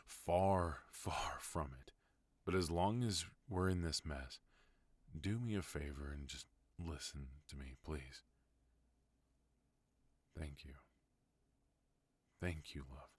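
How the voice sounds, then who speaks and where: A voice actor playing a protective, tender yet dangerous mafia boss husband in an intimate ASMR roleplay, speaking softly and unhurriedly into a close microphone. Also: male voice, extremely low pitch, no echo, exaggerated voice. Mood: firm, protective. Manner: soft, intimate, unhurried delivery.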